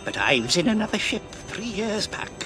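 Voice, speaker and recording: A man's voice: high voice, very animated, normal speed, over music. A man playing a ragged, half-crazed old marooned sailor in an audio drama, rambling and wheedling in rustic pirate dialect. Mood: storytelling. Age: older.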